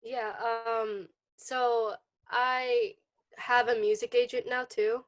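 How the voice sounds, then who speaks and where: A young female acting student chatting casually, in a hesitant, halting way. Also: a little high, flat delivery. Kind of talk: casual chat.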